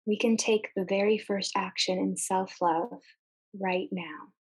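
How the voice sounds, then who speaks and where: Female meditation guide, mid-range voice, very slow and gentle delivery with long pauses. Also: medium pitch, steady tone, slightly rough, low-quality recording. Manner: gentle, unhurried, with long pauses between short phrases.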